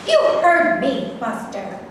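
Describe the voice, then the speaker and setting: A woman's voice: high-pitched, wildly swooping pitch, takes it slowly, exaggerated voice. A woman playing a combative, sarcastic feminist with a biting, scornful manner at a staged play reading. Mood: hostile. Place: staged reading in an echoey room, distant mic. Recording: echoey noisy room from far off.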